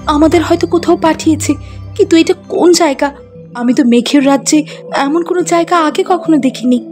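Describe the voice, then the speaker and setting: A woman's voice: high voice, brisk, darkish tone, faint music behind, voice pushed up, lightly acted. A woman voicing a proud, indignant fairy queen in a cartoon fairy tale. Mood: bewildered.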